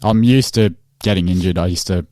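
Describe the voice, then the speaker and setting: A man from Zimbabwe, an amateur boxer, chatting casually in an interview, relaxed and quick to laugh. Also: low-pitched, no echo, studio quality. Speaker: amateur boxer in charity fight.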